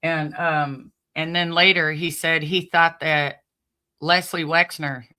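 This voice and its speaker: Female vlogger, bright forward voice, informal and conversational, talking straight to the audience as host. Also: sits low, little pitch movement, slow pace. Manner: informal, conversational, addresses listeners directly. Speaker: host of a commentary channel. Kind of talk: casual chatty commentary to viewers.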